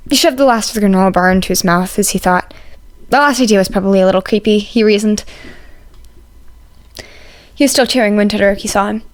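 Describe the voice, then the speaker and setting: A woman's voice: medium pitch, quick pace, pauses often. A woman reading fan fiction aloud as scripted story narration.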